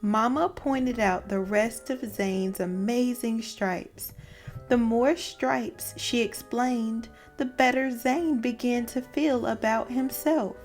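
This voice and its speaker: A woman narrating a children's read-aloud as host, with expressive storytelling. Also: on the high side, slow pace. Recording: music behind the voice.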